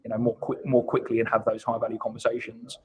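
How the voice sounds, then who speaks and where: A man with a husky voice explaining his points in a conversational business interview, emphatic and often repeating words as he builds them. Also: mid-range voice, monotone, fast talker, darkish tone, low-quality recording. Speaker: guest account executive at a software company. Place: online live video call.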